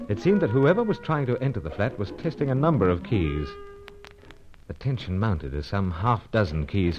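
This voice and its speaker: An actor playing a suave, unflappable secret agent in an old radio serial, with clipped, plummy speech. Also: male voice, fairly low pitch. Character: suave British male secret agent, jaunty, unflappable, narrating. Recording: dull old radio recording.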